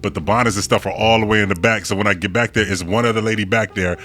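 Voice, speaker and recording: A man with a deep voice chatting casually as a podcast guest in an animated, slangy, emphatic way. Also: quick pace, faint music behind. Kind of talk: casual conversation.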